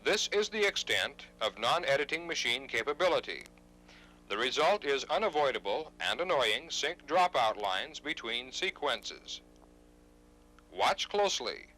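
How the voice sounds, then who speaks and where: A man's voice: mid-range voice, very animated, measured pace, pushed and bright, low-quality recording. A man reading scripted instructional narration in a formal, precise manner, as on a 1970s training videotape.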